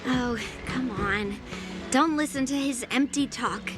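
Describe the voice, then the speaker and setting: A woman's voice: high-pitched, slow pace. A woman playing a confident, blunt young girl swordmaster in video game voice acting, frank and teasing. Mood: challenging. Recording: game music behind the voice. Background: game background music.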